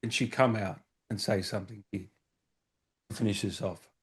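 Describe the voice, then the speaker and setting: A man's voice: fairly low pitch, steady tone, normal speed, husky. A man hosting a casual interview, chiming in with short eager replies and the odd swear word. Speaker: host.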